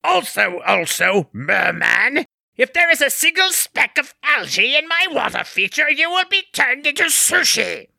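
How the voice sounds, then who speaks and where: A man playing an imperious, sneering villain overlord who berates his minions, pompous and theatrical, with a raspy, shrill voice. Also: big pitch swings, measured pace, sharp tone, dry recording, studio quality, heavily acted. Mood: threatening.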